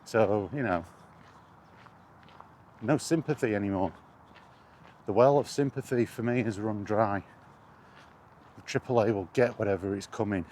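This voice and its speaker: A man's voice: fairly low pitch, measured pace, pauses often, darkish tone, slight background noise. A man ranting indignantly in a casual spoken vlog, recorded while walking outdoors. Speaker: gaming vlogger.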